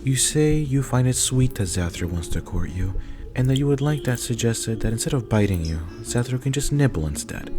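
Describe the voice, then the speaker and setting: A man's voice: medium pitch, animated, moderate pace. A man narrating a scripted roleplay story in the second person. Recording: clear music bed behind.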